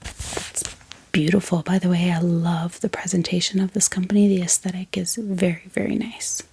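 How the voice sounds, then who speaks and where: A woman whispers softly and breathily in a gentle, chatty ASMR style, talking informally to her viewers close to the microphone. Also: fairly low pitch, little pitch movement, normal speed. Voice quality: breathy, mostly unvoiced whisper. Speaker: ASMR video host. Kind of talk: soft-spoken whispered ASMR.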